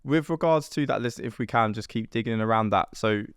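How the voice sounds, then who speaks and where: A man hosting a podcast, asking rambling questions with restarts and backing the guest with steady murmurs. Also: medium pitch, brisk, clean tone, no room sound, studio quality. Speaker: podcast host. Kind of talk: casual interview.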